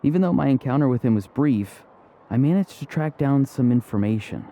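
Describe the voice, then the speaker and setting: Man playing a mellow, grave young warrior. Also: medium pitch, expressive, lightly acted. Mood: serious, puzzled. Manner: measured, serious. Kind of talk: English video game character acting.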